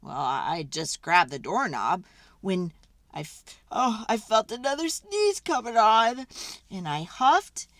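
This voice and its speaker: A woman's voice: mid-range voice, sing-song, takes it slowly, a bit bright, no room sound, studio quality. A woman voicing a folksy, mock-sincere wolf who pleads his innocence, in a conversational children's read-aloud style. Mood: self-justifying. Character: folksy, innocent-acting wolf telling his side, self-justifying.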